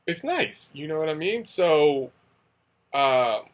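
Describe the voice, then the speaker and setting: A man's voice: fairly high pitch, very animated, slow. A young man from the United States who teaches English in Asia, talking casually and rambling with lots of fillers. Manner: rambling, conversational, many fillers. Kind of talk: casual talking-head vlog.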